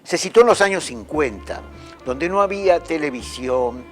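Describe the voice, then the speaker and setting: A man explains a stage production in an interview, with a lively, rising and falling voice. His pitch sits a bit high for a man. Faint music runs underneath. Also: normal speed. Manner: explanatory, steady.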